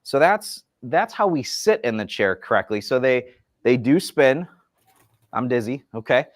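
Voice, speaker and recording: A man's voice: mid-range voice, animated, unhurried, dry recording, clean studio sound. Upbeat man demonstrating sewing chairs. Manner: enthusiastic, explanatory, folksy. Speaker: furniture company representative. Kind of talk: product demonstration chat.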